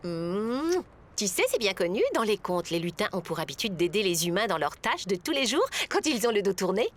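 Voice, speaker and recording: A woman playing a gentle, indulgent mother in a cartoon. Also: mid-range voice, sing-song, normal speed. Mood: tender. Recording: loud music behind the voice.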